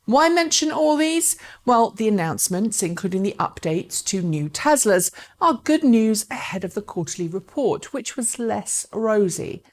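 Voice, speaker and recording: A woman's voice: medium pitch, very animated, a little slow, hardly any pauses. A woman presenting electric car news as scripted narration, speaking articulately and directly to viewers.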